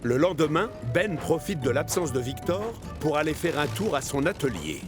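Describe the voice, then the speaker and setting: A man narrating a French children's cartoon as an expressive storyteller. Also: on the high side, moderate pace, music in the background.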